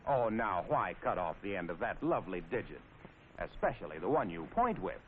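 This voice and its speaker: A man's voice: low-pitched, sing-song, brisk. An American man narrating in 1940s training film style, wry, folksy and playfully sarcastic. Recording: scratchy, tinny 1940s film soundtrack with noise.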